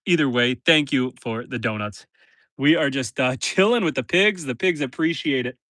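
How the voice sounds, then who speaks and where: A man's voice: medium pitch, moderate pace, fairly bright tone, no room sound. A man from northern Canada, stuffy-nosed, chatting casually on a live stream from his homestead, talking to viewers and his animals. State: stuffy nose. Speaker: homesteader livestreaming. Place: outdoors in a forest pen.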